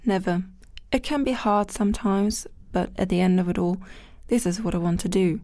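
A woman's voice: medium pitch, moderate pace, mellow, dry recording, clean studio sound. A nineteen-year-old woman, a student guest on a scripted panel, talking in a prim, earnest, disapproving way. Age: teens.

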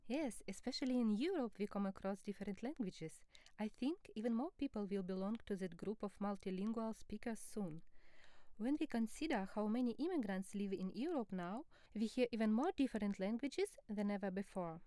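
Mellow woman explaining in measured tones. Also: mid-range voice, dry recording. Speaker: co-presenter speaking as the subject expert. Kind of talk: scripted educational dialogue.

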